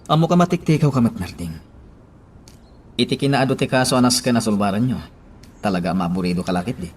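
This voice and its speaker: An actor playing an earnest, solemn priest in an Ilocano radio drama. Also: male voice, medium pitch, lively intonation, fast, husky. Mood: probing, sympathetic. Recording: faint background noise.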